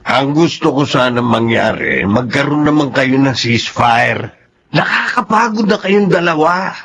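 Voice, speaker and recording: A man's voice: mid-range voice, lively intonation, measured pace, fairly bright tone, breathy edge, exaggerated voice. An actor playing a weary, teasing older man who is fed up with the quarrels in a radio drama. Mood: exasperated. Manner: exasperated, teasing.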